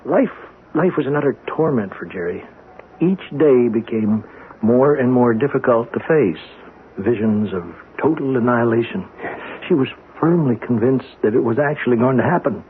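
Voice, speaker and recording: Actor as a grave doctor, old radio sound. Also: male voice, mid-range voice, lively intonation, moderate pace, close to an everyday voice. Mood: resigned, explanatory. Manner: grave, emphatic, explanatory. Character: grave, matter-of-fact male facility doctor. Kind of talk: 1970s radio drama acting. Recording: muffled, noisy old radio broadcast.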